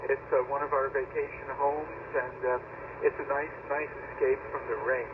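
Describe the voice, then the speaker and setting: Over a very narrow, clearly noisy shortwave link, an American man talks as an amateur radio operator. His pitch is average, and he reports in a casual, matter-of-fact way. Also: little pitch movement, moderate pace. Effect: radio transmission. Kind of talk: casual ham radio contact.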